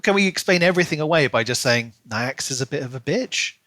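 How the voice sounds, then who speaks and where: A man's voice: on the high side, animated, fast, pushed and bright, no room sound. A man co-hosting a podcast, chatting casually and giving his opinions about books, sometimes acting out imagined quotes. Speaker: podcast co-host. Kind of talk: casual book discussion chat.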